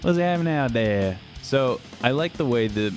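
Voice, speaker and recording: A man's voice: medium pitch, lively intonation. A man hosting a music podcast from a home studio, reading a sponsor spot and then chatting playfully with a co-host, joking and stretching words for emphasis. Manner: playful, jokey, drawn-out emphasis. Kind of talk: casual chat with an ad read. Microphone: studio microphone. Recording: dry close studio mic.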